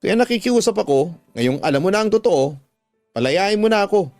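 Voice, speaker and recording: A man's voice: high voice, expressive, brisk, darkish tone, dry recording, soft background music, pitched above the performer's own voice. A man playing an unfaithful husband in a radio drama, guilty and earnest, pleading yet resolute. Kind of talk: Tagalog radio drama acting.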